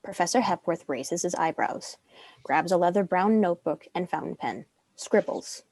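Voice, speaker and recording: A woman's voice: fairly low pitch, animated, normal speed, creaky, a little mellow, low-quality recording. A woman reading stage directions as narrator of a scripted drama.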